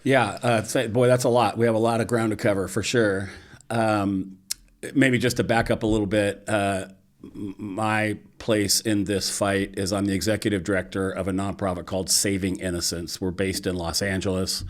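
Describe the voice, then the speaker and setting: A middle-aged man, a nonprofit director, explaining in a measured, serious way as a podcast guest in a studio. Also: fairly low pitch, level tone. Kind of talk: interview. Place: studio with green curtain. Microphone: studio mic on boom arm.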